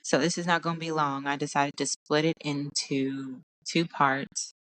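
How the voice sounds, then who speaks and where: Woman, solo podcast host, low voice, hoarse, tired, rambling, searches for words. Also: steady tone, normal speed, a bit bright, low-quality recording. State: hoarse from crying. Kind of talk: casual solo podcast talk. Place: at home.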